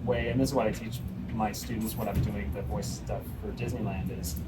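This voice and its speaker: Man, relaxed, measured, teacherly, casual chat, voice set back from the mic, busy restaurant noise. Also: lowish voice, distant mic. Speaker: voice actor guest. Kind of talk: casual chat over a meal. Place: sandwich shop.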